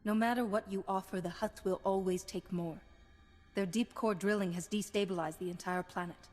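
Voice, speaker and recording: An actress playing a resolute warrior-monk heroine in a video game, composed, formal and decisive. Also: female voice, mid-range voice, steady tone, moderate pace, darkish tone, faint music behind, close to an everyday voice. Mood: calm, firm. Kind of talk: scripted game acting.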